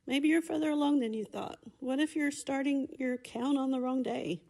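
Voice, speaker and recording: A woman's voice: sits high, normal speed, a little mellow. A woman playing a concerned, reassuring survivor in a motion comic drama.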